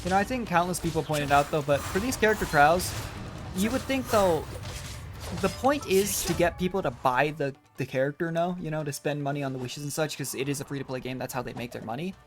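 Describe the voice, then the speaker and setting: A man's voice: a little high, a little fast. A man giving casual playthrough commentary as a gaming streamer, musing aloud in chatty asides. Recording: game music behind the voice.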